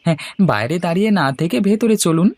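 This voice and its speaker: A woman's voice: fairly low pitch, animated, brisk, a little mellow, no room sound. A woman voicing a polite, deferential young village bachelor in a moral story cartoon.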